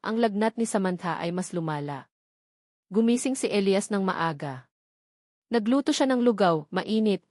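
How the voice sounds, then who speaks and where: Female narrator, mid-range voice, scripted drama storytelling, deliberate phrasing, dry studio-like sound. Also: medium pitch, darkish tone, no room sound. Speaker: audiobook narrator.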